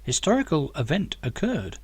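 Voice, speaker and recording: A helpful computer professor in a sci-fi audiobook, played by a male reader, with a slightly high male voice. He lays out facts in an informative, matter-of-fact manner. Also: very animated, moderate pace, darkish tone, breathy edge, exaggerated voice. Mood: helpful, factual. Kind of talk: audiobook character dialogue.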